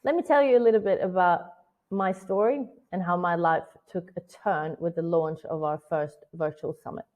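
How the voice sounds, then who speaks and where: A woman's voice: lowish voice, moderate pace, narrow, tinny audio. A woman in her thirties from Sweden with a Swedish accent, giving an earnest, scripted webinar talk as a virtual event strategist. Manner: earnest, personal storytelling.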